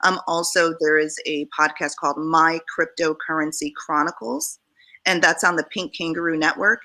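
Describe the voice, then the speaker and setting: A woman's voice: deep voice, fairly even intonation, moderate pace, bright, forceful tone. A woman from Jamaica speaking earnestly as a panelist in a discussion.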